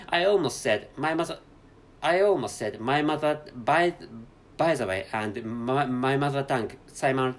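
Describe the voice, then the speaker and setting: A man with a Japanese accent talks alone into his podcast mic from a hotel room. His English comes slowly and haltingly, full of repeated words, false starts and careful self-corrections, at an ordinary middle pitch. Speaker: podcast host. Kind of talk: unscripted solo podcast monologue.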